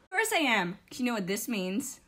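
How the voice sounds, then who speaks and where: A woman's voice: on the high side, sing-song, moderate pace, sharp tone, exaggerated voice. A woman voicing a brash, dramatic, bickering schoolgirl in an amateur comic fandub. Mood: smug.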